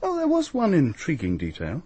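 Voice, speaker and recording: A man with a British accent playing a pragmatic, world-weary police detective in a radio drama, dry, offhand and husky. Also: fairly high pitch, huge pitch swings, normal speed, dark tone, no echo, exaggerated voice. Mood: musing.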